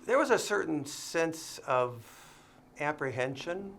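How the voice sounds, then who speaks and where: A man's voice: on the high side, big pitch swings, slow, gravelly, fairly bright tone. An actor in his fifties playing a man recalling a day of his life for an interview. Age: 50s. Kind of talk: film dialogue.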